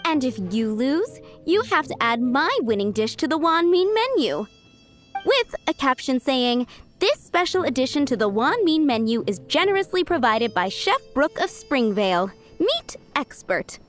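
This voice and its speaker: A woman voicing a proud, opinionated village cook in a video game, brisk and assertive. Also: high-pitched, big pitch swings. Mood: argumentative. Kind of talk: acted video game dialogue. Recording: faint game music underneath.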